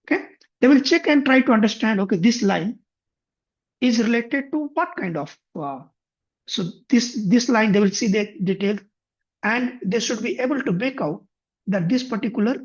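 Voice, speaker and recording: A man demonstrating finance software on a shared screen, thinking aloud with frequent restarts. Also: high-pitched, animated, normal speed, slightly rough. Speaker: software instructor. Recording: muffled lo-fi computer mic.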